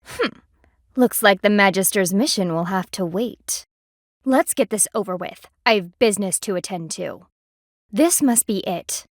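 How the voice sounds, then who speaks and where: A woman's voice: medium pitch, unhurried, no echo, studio quality, close to an everyday voice. An actress voicing a stern, haughty female panda palace enforcer in a video game, formal, commanding and disdainful. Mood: curt, imperious.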